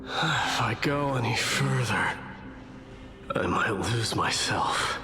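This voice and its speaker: An actor playing a solemn, weary departed warrior in a video game, grave and formal, with ghostly reverb. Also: male voice, mid-range voice, expressive, takes it slowly. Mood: grave, resolute. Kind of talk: scripted video game voice acting. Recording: long echoing reverb, music behind.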